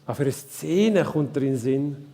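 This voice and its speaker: A man with a Swiss German accent preaching a live sermon, asking the congregation questions in a slow, searching way. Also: a little high, animated, warm tone. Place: church hall. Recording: reverberant church hall.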